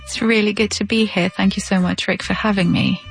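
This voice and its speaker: Creaky-voiced woman, an explanatory interview guest. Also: medium pitch, fairly even intonation, fairly quick, soft background music. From Romania. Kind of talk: informative interview talk.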